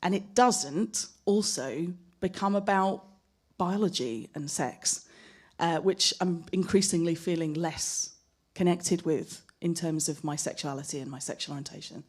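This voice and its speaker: A woman speaking as a panelist at a live discussion, thoughtful and personal, measured in how she talks. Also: lowish voice, breathy edge. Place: gallery event space.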